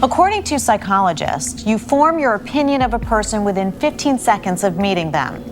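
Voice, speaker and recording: A woman's voice: mid-range voice, animated, a little fast. A woman hosting a game show, presenting to and questioning a panel in scripted style. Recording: music bed behind the voice.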